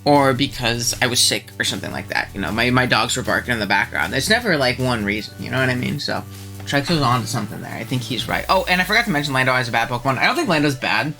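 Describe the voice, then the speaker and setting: A man's voice: medium pitch, fast, faint music behind. A young man hosting his channel, chatting casually and animatedly about viewer takes, a little stuffed up with a cold. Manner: chatty and animated, frequent ums and laughs. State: stuffed up, congested. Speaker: channel host.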